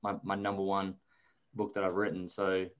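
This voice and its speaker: A man's voice: deep voice, completely flat delivery, moderate pace, muffled recording. Chatty Australian man in his thirties. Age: 30s. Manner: chatty, informal, anecdotal. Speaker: interview guest, investor and author. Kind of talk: casual conversational interview.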